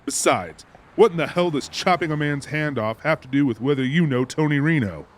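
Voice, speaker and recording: A man's voice: fairly high pitch, a little fast, natural voice. An actor playing an exasperated, wisecracking everyman in an audiobook, with dry, scolding banter. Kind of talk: audiobook character acting.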